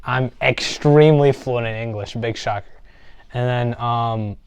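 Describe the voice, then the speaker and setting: A young American man in easy podcast chat, lively and laughing often. His voice sits at an ordinary middle pitch, recorded dry and close with no room sound. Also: measured pace, clear, resonant tone, no echo. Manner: animated, laughs easily. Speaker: podcast co-host. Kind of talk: casual chat.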